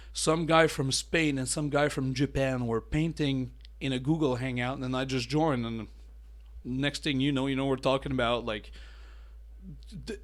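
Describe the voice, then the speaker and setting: A man hosting a miniature painting channel, chatting casually with a mellow voice, full of fillers and restarts. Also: mid-range voice. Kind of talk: casual talking-head hobby tips.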